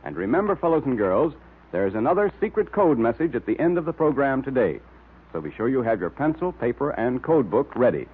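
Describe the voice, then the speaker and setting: A man announcing in vintage radio style, emphatic and salesmanlike. His delivery is very animated, with a rough, husky edge. The recording is tinny and band-limited. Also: medium pitch, brisk. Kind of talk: 1940s radio advertising and scripted narration.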